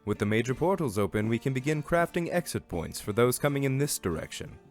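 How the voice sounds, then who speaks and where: A man playing a calm, formal advisor at a royal court in a fantasy fandub, speaking in a measured, instructive way. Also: medium pitch, soft background music, close to an everyday voice. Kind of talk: fandub fantasy drama acting.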